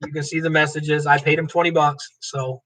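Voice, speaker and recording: A man's voice: on the high side, little pitch movement, brisk, a bit bright, low-quality recording. A man hosting a live stream, chatting loosely and joking as he reads viewers' comments aloud and answers them by name. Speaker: livestream host. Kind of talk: casual livestream chat reading comments.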